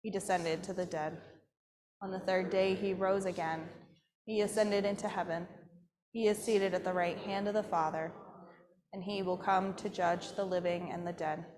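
A woman pastor leading a congregation through a spoken creed in a steady, measured voice, standing at a church altar. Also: lowish voice, a crowd in the background. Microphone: far camera. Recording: long church reverb.

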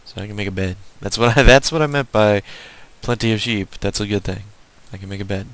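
A man's voice: on the low side, steady tone, a little fast, fairly bright tone. A man with a Southern US accent giving casual, unscripted game commentary, rambling and muttering to himself as he plays. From southern United States. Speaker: gaming video host.

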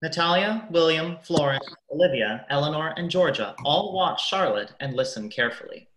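A man's voice: a little high, expressive, normal speed, a bit bright. A man narrating stage directions in an amateur radio play. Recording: roomy, echoing sound.

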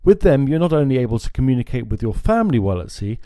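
A man explains equipment in a steady, informative manner. His voice is mellow and lively in pitch. It is recorded dry and close on a lapel mic in a small indoor showroom. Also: rapid-fire, no room sound. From France. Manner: steady, informative, gestures at screens. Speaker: marine electronics presenter. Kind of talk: scripted product explainer.

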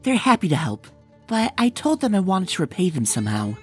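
A woman voicing a bubbly young female pony with husky, gushing, slangy talk in a comic dub. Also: lowish voice, very animated, a little fast, darkish tone, soft background music. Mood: earnest. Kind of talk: comic dub character acting.

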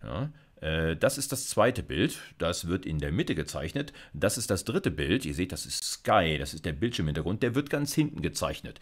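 A man's voice: low-pitched, sing-song, normal speed, few pauses. A man, explaining like a university lecturer in an informal, conversational way, often checking in with short tags. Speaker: university computer science lecturer.

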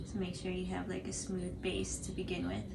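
Low-voiced young woman, noisy recording. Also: sing-song, moderate pace. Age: 20s. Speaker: cosplay tutorial host. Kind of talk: casual unscripted tutorial commentary. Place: indoor living room, seated on couch.

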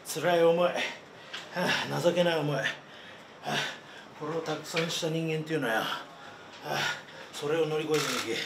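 Panting, gravelly middle-aged man. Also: fairly high pitch, fairly even intonation, normal speed. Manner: gruff, heavy panting between phrases, fired up. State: out of breath. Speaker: pro wrestler. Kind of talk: post-match comments. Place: backstage press area in front of a sponsor backdrop. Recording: echoey room, loud noise, far from mic.

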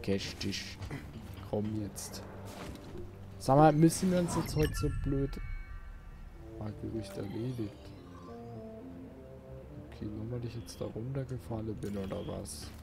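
A man's voice: fairly low pitch, slow, creaky, pauses often, over music. A man giving casual, grumbling let's play commentary as he plays a video game. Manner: grumbling, mild swearing at the game.

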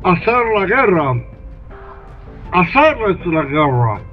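An older man plays a greedy British merchant who drags out every word in a high, calculating voice. The sound is thin and tinny, like a call coming down a phone line. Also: big pitch swings, takes it slowly, faint music behind, heavily acted. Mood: scheming. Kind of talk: amateur radio drama over video call. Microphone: webcam on video call.

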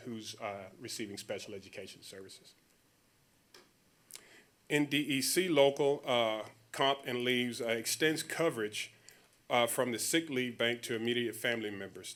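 A man presenting as a school district administrator at a board meeting, speaking in a measured, procedural way. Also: fairly low pitch, a bit bright. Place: public board meeting room.

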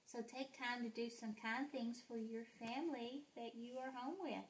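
A woman's voice: on the high side, moderate pace. A woman in her thirties, a mother chatting casually and reading a picture book aloud expressively to children in a living room. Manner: expressive, with whispered sound words. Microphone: handheld phone. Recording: home phone video with background noise.

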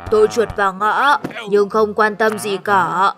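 A woman's voice: medium pitch, fairly even intonation, unhurried, lightly acted. A woman voicing a sullen, taunting, spiteful mouse villain in a children's cartoon. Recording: music behind the voice.

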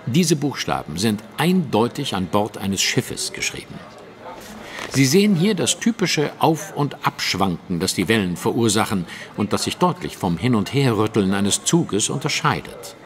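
A man's voice: fairly low pitch, sing-song, normal speed. A man playing a keen, self-assured detective in an audio drama, speaking with formal precision. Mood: analytical.

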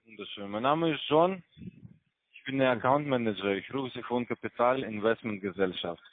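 A man working a scam call center, delivering a scripted sales pitch. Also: moderate pace, no echo. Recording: dry tinny phone line.

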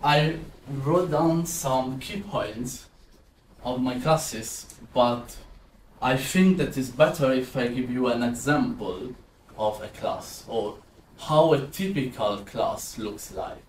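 A man's voice: mid-range voice, slow. A man in his twenties from southern Italy, with an Italian accent, presenting as a maths teacher explaining to a class. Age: 20s. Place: indoor classroom with whiteboard and screen. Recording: roomy echo, distant, some background noise.

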